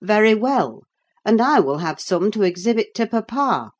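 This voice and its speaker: A woman's voice: mid-range voice, very animated, moderate pace, voice pushed up. Woman playing a stern, scornful servant woman. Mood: firm bargaining. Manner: grave, sarcastic, scolding. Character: stern, scornful woman servant scolding her young mistress. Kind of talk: audiobook character acting.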